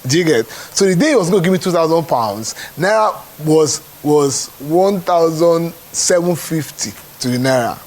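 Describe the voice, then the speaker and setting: A man with a Nigerian accent speaks in a somewhat high voice. He talks as a producer being interviewed, in conversational phrases, and keeps checking that the listener follows him. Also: lively intonation, moderate pace, few pauses, a little mellow. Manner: repeats check-in questions to the listener. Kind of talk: animated spoken interview.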